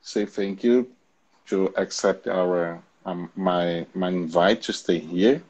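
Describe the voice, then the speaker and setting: Low-voiced, slow Brazilian man hosting. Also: darkish tone, muffled recording. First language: Portuguese. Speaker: interview host. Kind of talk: casual online interview. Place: home balcony.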